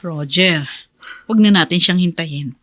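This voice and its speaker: A woman's voice: fairly low pitch, unhurried, a bit bright, no echo. An actress playing a suspicious, protective wife and mother in Filipino radio drama, with an emotive, pleading delivery. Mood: pleading, worried.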